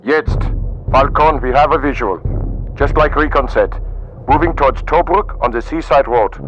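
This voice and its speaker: An actor playing a sardonic German tank commander in a World War II game, with dry, mocking, clipped military delivery. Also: male voice, mid-range voice, normal speed, muffled recording, faint music behind, close to an everyday voice. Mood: businesslike. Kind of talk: video game cutscene acting.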